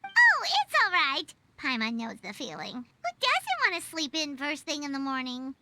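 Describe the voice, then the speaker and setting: Squeaky little pixie companion. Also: female voice, huge pitch swings, normal speed, very noisy. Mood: sympathetic. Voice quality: squeaky, childlike. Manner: refers to itself by name. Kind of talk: animated game voice acting.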